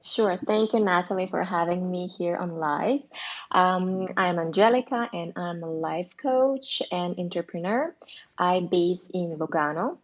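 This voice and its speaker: A woman from Russia, speaking English with Russian as her first language, guests on a video call as a life coach. Her voice sits on the low side, and it comes through a dull, lo-fi line. She talks with some hesitation and restarts. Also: measured pace. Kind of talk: casual video call chat.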